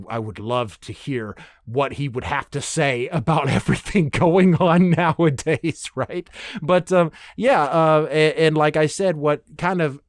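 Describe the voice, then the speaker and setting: A man's voice: mid-range voice, no echo. Dry-sounding man, casual and emphatic. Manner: animated, emphatic, freely swearing. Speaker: podcast host. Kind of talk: casual solo podcast talk.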